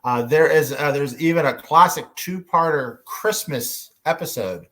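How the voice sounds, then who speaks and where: A man's voice: fairly high pitch, bright, forceful tone. Man hosting a casual fan panel. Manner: hesitant, halting delivery.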